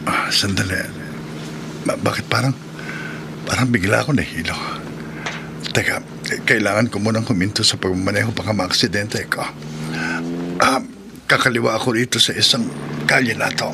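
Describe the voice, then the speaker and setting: An actor playing a good-natured, henpecked taxi driver in a horror radio drama, hesitant and often thinking aloud. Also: male voice, on the low side, normal speed, creaky, long pauses, noisy background. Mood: dizzy, alarmed.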